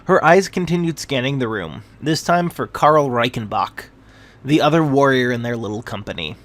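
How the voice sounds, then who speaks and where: A man's voice: mid-range voice, expressive, normal speed, a bit bright. A man reading a scripted fantasy tale aloud as its narrator.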